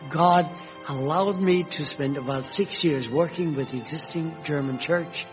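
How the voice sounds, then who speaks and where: An older man telling stories from his years abroad, unhurried and gentle, pausing often. His voice sits a bit high for a man, with soft music faintly underneath. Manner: slow, gentle storytelling with fillers. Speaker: retired missionary. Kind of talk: reminiscing personal history.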